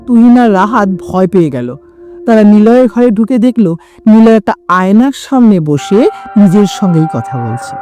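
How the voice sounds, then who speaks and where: Lively woman narrating a horror tale. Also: mid-range voice, normal speed, faint music behind. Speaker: storyteller.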